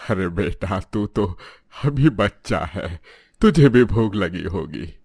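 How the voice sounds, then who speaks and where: A man's voice: low voice, animated, gravelly, a little mellow, slightly rough, dry recording, studio quality, full character voice. A man playing a humble, grateful old beggar in a storybook drama, speaking with grandfatherly tenderness. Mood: tender concern. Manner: slow, pleading, blessing. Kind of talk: storybook audio drama acting.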